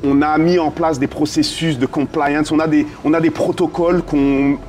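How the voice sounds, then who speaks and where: A man's voice: on the high side, steady tone, normal speed, a little mellow, soft background music. A man from a French and American background answering interview questions conversationally as a business consultant, dropping in English business terms. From France and United States, dual background. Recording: clearly noisy ambient background.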